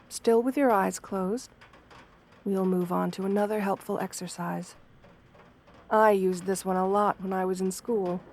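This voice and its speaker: A woman plays a kind wolf-girl therapist in an audio roleplay. Her voice is soft and mid-pitched, with a measured, soothing delivery. She sounds professional and reassuring, guiding a patient gently. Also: gravelly. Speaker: therapist character speaking to a patient. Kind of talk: ASMR roleplay, guided affirmations.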